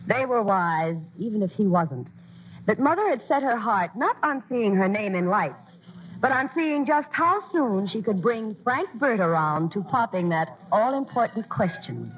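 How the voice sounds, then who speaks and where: A woman's voice: mid-range voice, expressive, measured pace, lightly acted. An actress in a 1940s radio drama playing an eager, modest young vaudeville performer who also tells her story fondly. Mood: fond storytelling. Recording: muffled 1940s radio recording with music.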